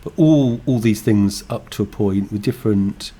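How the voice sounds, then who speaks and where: An English man co-hosting a podcast, talking thoughtfully in halting, restarting sentences. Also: fairly low pitch, warm tone, breathy edge. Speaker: podcast co-host, game designer. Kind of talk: casual chat.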